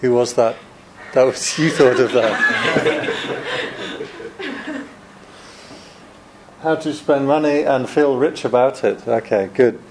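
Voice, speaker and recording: A man's voice: mid-range voice, big pitch swings, slow, long pauses, background noise. A man, a Buddhist monk, giving a chatty Dharma talk to a room of students, joking and laughing often.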